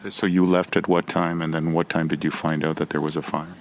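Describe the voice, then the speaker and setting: A man's voice: a bass voice, level tone, a little fast. A man interviewing a guest, asking brief, polite questions.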